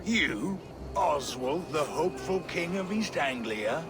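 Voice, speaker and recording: An actor playing a brash, boastful Viking warlord in a video game cutscene, with a swaggering, theatrical delivery. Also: male voice, fairly high pitch, expressive, slow pace, music in the background. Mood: mocking.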